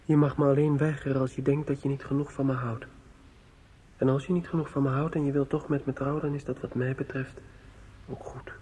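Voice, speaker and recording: A man's voice: medium pitch, level tone, fairly quick, mellow, slightly rough, lightly acted. An actor playing a lovesick, brooding young medical student in a Dutch radio play, earnest and poetic in his pleading. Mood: urgent pleading. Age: young adult. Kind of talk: Dutch radio drama acting. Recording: radio play with music behind.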